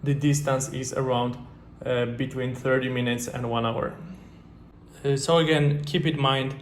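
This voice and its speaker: A man hosts a travel vlog in a mid-pitched, level voice that hardly rises or falls. His English is steady and explanatory, dotted with fillers. A faint hiss runs underneath. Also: unhurried. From Poland. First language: Polish. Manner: steady, explanatory, with frequent "uh" and "guys". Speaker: travel vlogger.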